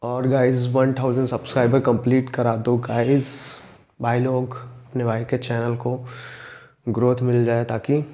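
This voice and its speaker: A man's voice: medium pitch, measured pace. A young man talking to camera on a lapel mic in a small room, a fitness vlogger chatting casually and asking viewers to like and subscribe. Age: young adult. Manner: steady, flat delivery with repeated calls to like and subscribe. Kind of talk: casual vlog talk to camera.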